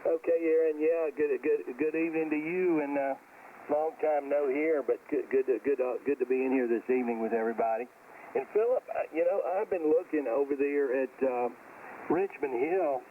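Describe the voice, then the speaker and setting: A man's voice: fairly high pitch, moderate pace, narrow, tinny audio. A man chatting casually as an amateur radio operator over shortwave radio, rambling hesitantly and often repeating words. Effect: shortwave ham radio transmission. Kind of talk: casual radio round-table chat. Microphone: radio hand mic. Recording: noisy, band-limited, distant shortwave radio signal.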